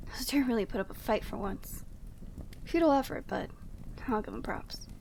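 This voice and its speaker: A woman playing a proud, commanding yeti woman in a scripted ASMR roleplay, speaking in an imperious, measured and formal way. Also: on the high side, expressive, a little mellow, slight background noise. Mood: weary, muttering.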